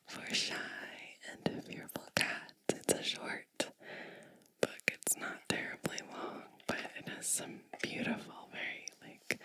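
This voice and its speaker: A woman reading a picture book aloud as ASMR in a breathy, gentle whisper, lingering on phrases and adding little asides. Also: poor audio.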